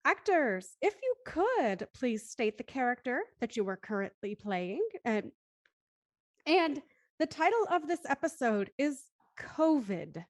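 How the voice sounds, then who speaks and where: Female host for streamed play reading. Higher-pitched, articulate, formally polite, very dry recording. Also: big pitch swings, unhurried, no echo. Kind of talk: scripted recap and live show hosting.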